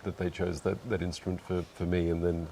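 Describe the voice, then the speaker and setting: A man, chatting in an interview in a relaxed, thoughtful way. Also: low-pitched, brisk, gravelly, a loud crowd. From Australia. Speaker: guest musician. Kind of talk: casual interview chat.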